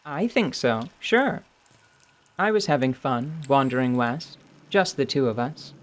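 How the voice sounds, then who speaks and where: A woman voicing a wry, playful devil-woman mercenary who teases in an unhurried way. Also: very deep voice, lively intonation. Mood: wistful, teasing.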